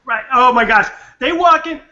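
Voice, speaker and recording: A man from Nebraska, a guest on an interview show, telling stories in an animated, excitable way. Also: very high-pitched, normal speed, lo-fi sound. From Nebraska, USA. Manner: animated, excitable, repeats words. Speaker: guest, venture capitalist and winery owner. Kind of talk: enthusiastic interview storytelling.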